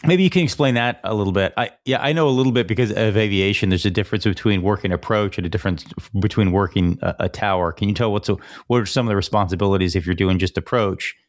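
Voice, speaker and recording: A man's voice: lowish voice, fast talker, few pauses. A man interviewing a guest as a podcast host, in casual, conversational chat.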